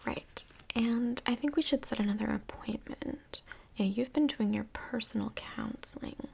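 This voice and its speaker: A woman playing a kindly, tactful couples' counselor in a soft-spoken ASMR role play, whispery, breathy and gently reassuring, with some hesitation. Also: on the high side, a little slow. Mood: attentive, patient.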